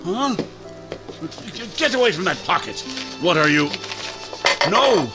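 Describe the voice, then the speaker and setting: An actor playing a cruel, domineering middle-aged father in a 1940s-style radio drama, barking gruff orders. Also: high voice, sing-song, unhurried, over loud music, exaggerated voice. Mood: irritable, alarmed. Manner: gruff, bullying commands.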